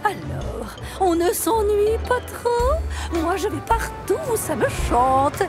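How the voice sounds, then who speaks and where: Cartoon railcar, female, snooty, boastful, lively swings in pitch, unhurried, theatrical French dub. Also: music in the background, heavily acted. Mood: smug, disdainful. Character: haughty vain female diesel railcar, boastful and disdainful.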